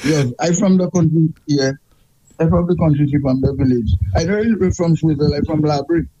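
A man's voice: a little high, fairly even intonation, a little fast, lo-fi sound, background noise. A man with a Saint Lucian accent calling in to a radio show, talking emphatically and repeating himself as he complains. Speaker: radio caller. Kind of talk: call-in radio chat.

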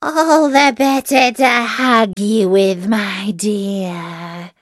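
A woman voicing a sly, hungry male wolf in a storybook, coaxing and predatory, with drawn-out theatrical phrasing. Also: medium pitch, steady tone, no echo, studio quality. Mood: menacing glee.

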